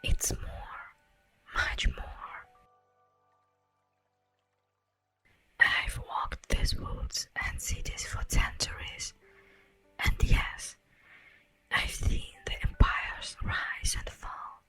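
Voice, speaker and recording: A woman playing an ancient, wistful immortal elf in a slow, breathy whispered ASMR roleplay monologue. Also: sharp tone. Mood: wistful, earnest. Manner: slow, theatrical, lingering pauses. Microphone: close microphone. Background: faint music.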